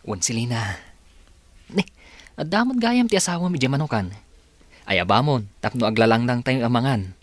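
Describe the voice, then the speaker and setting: A man playing a lively Ilocano village cousin. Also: medium pitch, normal speed, pauses often, dry recording, lightly acted. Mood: gentle, conciliatory. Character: Leo, friendly married village man, jovial, encouraging cousin. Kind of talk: Ilocano radio comedy-drama acting.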